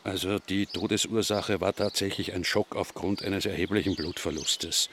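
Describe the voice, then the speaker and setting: An Austrian actor playing an officious, sarcastic police inspector in a radio drama, with emphatic delivery. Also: male voice, low-pitched, steady tone, normal speed, background noise. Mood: official.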